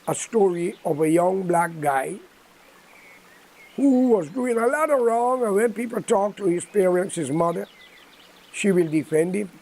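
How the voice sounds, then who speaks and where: A man's voice: sits high, expressive, measured pace, darkish tone, low-quality recording. An older man with a Guyanese accent giving an impromptu political address in a preachy, admonishing, emphatic manner. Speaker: political commentator.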